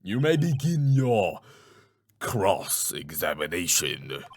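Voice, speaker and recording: A man voicing a stern, authoritative older judge in a video game, speaking in a measured way. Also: low-pitched, sing-song, voice pushed down, exaggerated voice. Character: grave, authoritative older male courtroom judge. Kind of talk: dramatic video game dubbing.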